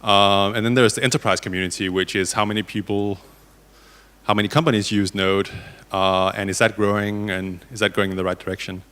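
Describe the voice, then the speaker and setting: Man from Denmark, lowish voice, hesitant informal panel talk, echoing hall. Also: flat delivery, fairly quick, fairly bright tone. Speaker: panelist, software developer. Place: indoor conference hall. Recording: reverberant conference hall.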